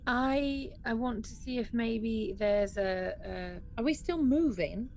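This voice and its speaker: A woman's voice: fairly high pitch, slow, darkish tone, a little hiss or hum. A woman chatting casually as a player in a tabletop role-playing game, hesitantly thinking aloud.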